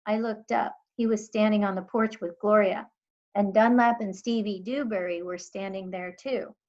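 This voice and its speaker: A woman's voice: medium pitch, steady tone, moderate pace, a little mellow. Woman reading a story, slightly dull sound. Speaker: teacher reading aloud. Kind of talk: expressive storybook narration.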